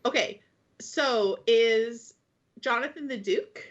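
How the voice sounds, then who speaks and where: A woman's voice: fairly high pitch, slow. A woman co-hosting a podcast, chatting casually with jokes and playful emphasis. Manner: animated, jokey, swears lightly, stretches words for effect. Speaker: podcast co-host. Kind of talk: casual chat. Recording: slightly dull lo-fi sound.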